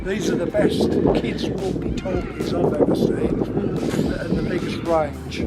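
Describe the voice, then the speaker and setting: A man's voice: high-pitched, wildly swooping pitch, slow pace, heavy background noise. A man, a father chatting casually while helping out in a family vlog. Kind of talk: casual chat. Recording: loud music over the voice.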